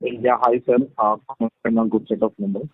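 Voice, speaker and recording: A man's voice: medium pitch, flat delivery, moderate pace, slightly rough, dry recording. A man asking questions as an analyst caller on an earnings call, over a muffled phone line.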